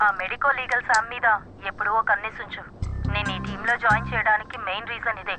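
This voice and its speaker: A woman's voice: medium pitch, fairly even intonation, quick pace, lightly acted, low-quality recording. An actress playing a stern, bossy female police investigating officer with a clipped, commanding manner that mixes in English phrases. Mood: stern, instructing. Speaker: actor in a dubbed film. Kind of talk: dramatic film dialogue. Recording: music behind the voice.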